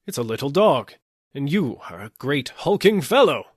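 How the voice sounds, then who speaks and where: Man acting a pompous, blustering police superintendent, animated. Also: mid-range voice, moderate pace, slightly rough, no echo, studio quality, pitched above the performer's own voice. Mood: blustering, suspicious. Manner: stern, barking, self-important. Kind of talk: audiobook character acting.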